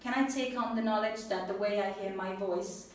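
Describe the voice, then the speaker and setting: A woman with an Italian accent giving an earnest, animated personal talk to an audience, then answering questions. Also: mid-range voice, moderate pace. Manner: earnest, animated, laughs easily. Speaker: speaker at a talk. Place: event room with an audience. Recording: echoey room, mic at a distance, faint noise.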